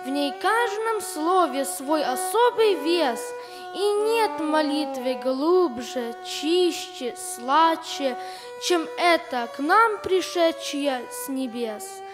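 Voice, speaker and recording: Young woman reciting a poem slowly. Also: high-pitched, expressive, music in the background. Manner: slow, measured recitation. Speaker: poetry reciter. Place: large church hall. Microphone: handheld mic. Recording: long church hall reverb.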